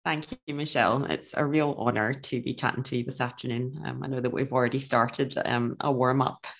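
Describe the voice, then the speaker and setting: Very deep-voiced Glaswegian woman. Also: fairly even intonation, a little fast, gravelly. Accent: Glaswegian Scottish. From Glasgow, Scotland. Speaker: podcast guest. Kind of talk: casual chat.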